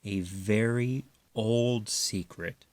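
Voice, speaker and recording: A man voicing a gentle, reassuring mother raccoon in a tender, soothing manner for a children's storybook. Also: fairly low pitch, very slow, dry recording.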